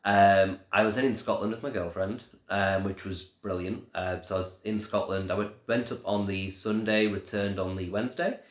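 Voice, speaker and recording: A man's voice: lowish voice, steady tone, moderate pace, few pauses. A young man who is ill and sounds a bit nasal, chatting casually on a live stream update for his paranormal blog, rambling with many ums and restarts. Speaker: paranormal blogger. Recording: echoey room.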